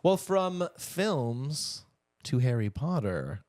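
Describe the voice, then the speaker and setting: A man's voice: mid-range voice, sing-song, slow, a little mellow, no room sound, studio quality. An American man co-hosting a podcast, chatting casually and giving wry, opinionated asides on entertainment news. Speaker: podcast co-host.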